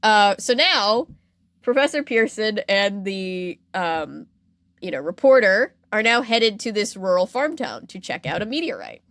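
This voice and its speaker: A woman's voice: mid-range voice, very animated, a little slow, a bit bright, dry recording. Casting: female podcast host, mid-range voice, casual and wry, close dry recording. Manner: wry, playful asides. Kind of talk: casual conversational storytelling with commentary.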